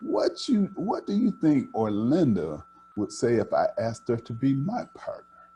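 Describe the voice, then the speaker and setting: A man's voice: mid-range voice, wildly swooping pitch, unhurried, warm tone, husky, full character voice. A man voicing a clumsy, good-natured young country boy from a storybook, in a questioning, folksy manner. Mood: hopeful.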